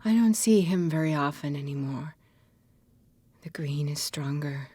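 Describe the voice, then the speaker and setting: A woman acting as a fragile, half-mad painter in a video game, breathy and husky, drifting dreamily and cryptically between halting phrases. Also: deep voice, warm tone, dry recording. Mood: dazed, dreamy.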